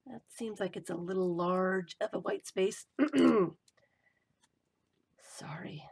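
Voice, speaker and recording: A woman crafting and talking to herself as she works, her voice going hoarse. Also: fairly low pitch, slow, darkish tone. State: voice giving out, hoarse. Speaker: collage journaling vlogger. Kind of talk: casual running commentary while crafting.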